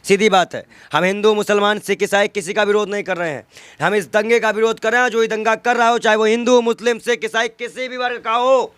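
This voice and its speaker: Male interviewee. High voice, dry sound, combative and emphatic political argument. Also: steady tone, fairly quick, a bit bright, clean tone, dry recording. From North India. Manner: argumentative, emphatic, with rhetorical questions and repetition.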